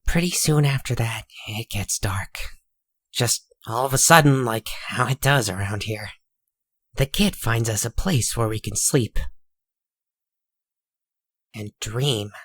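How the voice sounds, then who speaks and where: Casting: male actor as cynical, world-weary narrator for a comic drama, husky voice, medium pitch, folksy and sardonic. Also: takes it slowly, pauses often, fairly bright tone, no echo. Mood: dryly sardonic. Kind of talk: scripted comic dub narration.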